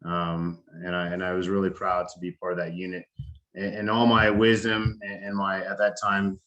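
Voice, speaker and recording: A man, giving a casual talk as a veteran, halting with many fillers and restarts. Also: deep voice, flat delivery, fairly bright tone, muffled recording. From United States. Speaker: veteran speaker.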